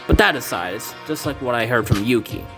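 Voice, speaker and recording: A man's voice: mid-range voice, animated, brisk, over music. A man reading a fan game aloud, voicing its characters with casual asides and stumbles. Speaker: let's play fan dubber. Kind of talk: casual game playthrough with voiced dialogue.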